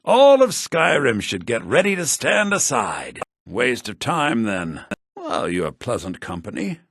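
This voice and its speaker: An actor playing a sly, cynical townsman in a fantasy video game, with a husky, gravelly voice and a wry, conniving, expressive delivery. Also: medium pitch, a little slow, no room sound, studio quality, full character voice. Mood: scornful, irritated. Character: sly, cynical adult male townsman and schemer. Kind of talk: scripted game dialogue.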